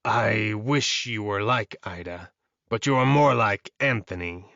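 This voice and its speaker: A man's voice: lowish voice, unhurried, gravelly, sharp tone, no room sound, lo-fi sound, exaggerated voice. A man voicing a proud, wary male werewolf in an audiobook, muttering and scornful. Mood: wary, reluctant. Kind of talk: audiobook character acting.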